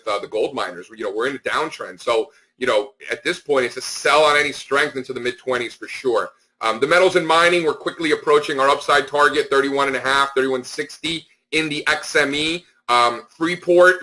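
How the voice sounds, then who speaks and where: A man's voice: medium pitch, pushed and bright, poor audio. A man speaking informally as a market analyst on a conference call, with an emphatic, opinionated, slangy way of talking. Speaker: stock market analyst hosting a members call.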